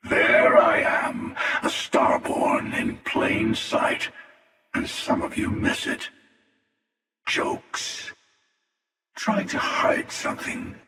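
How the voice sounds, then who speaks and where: A man voicing a menacing, weary ageless hunter in a video game, sardonic and deliberate, with a gravelly, reverberant otherworldly voice. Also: mid-range voice, big pitch swings, bright, forceful tone, exaggerated voice. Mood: taunting. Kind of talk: video game character dialogue. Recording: distant voice with long hall reverb.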